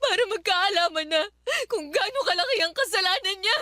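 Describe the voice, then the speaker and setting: A woman's voice: extremely high pitch, expressive, brisk, pushed and bright, no room sound, studio quality, heavily acted. A woman playing an aggrieved, combative young live-in partner and mother in a Filipino radio drama, protesting defiantly. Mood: bitter, outraged. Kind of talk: Tagalog radio drama acting.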